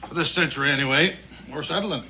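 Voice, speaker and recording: A man's voice: on the high side, quick pace, pushed and bright, a little hiss or hum, rough recording. An older American man playing a genial frontier teller of tall tales in a television western, with a folksy storytelling manner. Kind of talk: 1960s television western acting. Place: studio set of a log tavern.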